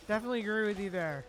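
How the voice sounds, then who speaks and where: Male game streamer: chatty, informal, clear game music in the background. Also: sits high. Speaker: game livestreamer. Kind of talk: casual live gaming commentary.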